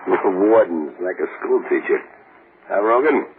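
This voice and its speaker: An actor playing a streetwise death-row convict who talks in tough slang, in old radio drama style. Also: male voice, low-pitched, animated, unhurried. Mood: scheming. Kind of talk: 1940s radio acting. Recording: tinny hissy old radio broadcast.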